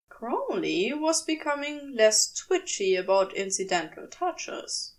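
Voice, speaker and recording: A woman reading fiction aloud as an audiobook narrator, in an expressive scripted storytelling style. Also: fairly high pitch, far from the mic.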